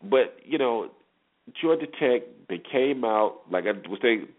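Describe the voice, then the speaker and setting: A man's voice: mid-range voice, lively intonation, measured pace. A man hosting a solo sports show, giving informal commentary and repeating phrases for emphasis. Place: solo internet radio show. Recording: muffled phone-like internet radio audio.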